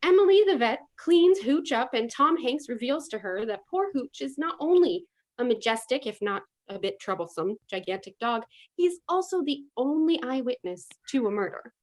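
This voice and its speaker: A woman's voice: high voice, expressive, moderate pace, hardly any pauses. A woman narrating a comedy story in an arch, sarcastic tone.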